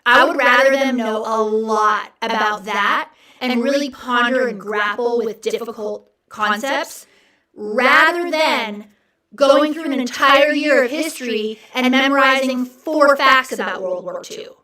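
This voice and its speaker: A woman's voice: on the high side, measured pace, sharp tone, reverberant, distant mic, low-quality recording. Chatty, encouraging woman host. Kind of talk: casual livestream chat.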